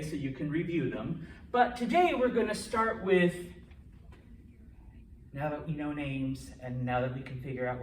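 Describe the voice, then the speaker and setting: A male language instructor giving a relaxed classroom lecture, joking and checking in with students often. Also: fairly high pitch. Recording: echoey classroom from a distance, faint noise.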